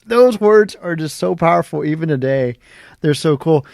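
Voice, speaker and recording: A man's voice: a little high, expressive, moderate pace, vocal fry, a little mellow, no room sound, clean studio sound. A man hosting an interview casually, with an enthusiastic, admiring manner. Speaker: interview host.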